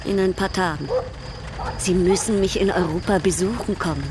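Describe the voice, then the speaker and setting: An actress in a radio drama playing a proud baroness who speaks formally, with pointed, direct questions. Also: female voice, on the low side, normal speed, background noise, close to an everyday voice. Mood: cool, probing. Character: proud, probing baroness, coolly direct and teasing.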